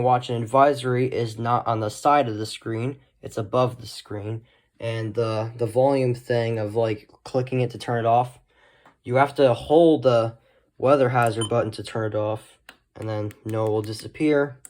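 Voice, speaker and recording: A man hosts a casual hobbyist video in an unscripted way. His talk rambles along at a middling pitch, often trailing off into short asides. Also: a little mellow. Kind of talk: casual unscripted show-and-tell.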